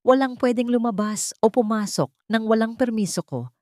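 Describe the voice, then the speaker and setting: A woman's voice: on the high side, moderate pace, a little mellow, no echo, studio quality, voice pushed up, close to an everyday voice. A woman voicing a devoted, commanding rich son in a dramatic audio story. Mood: angry, commanding. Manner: emphatic, commanding.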